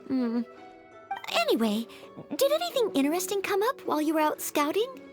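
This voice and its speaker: A woman's voice: extremely high pitch, huge pitch swings, moderate pace, soft background music, full character voice. A woman voicing a caring, modest young maid in a video game, sweet, polite and attentive. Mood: curious.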